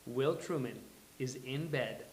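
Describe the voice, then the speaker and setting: A man hosting a live table read, announcing and reading stage directions in a halting, deliberate way. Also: mid-range voice, a little hiss or hum. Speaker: host and stage-direction reader. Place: live venue with audience. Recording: echoey live venue.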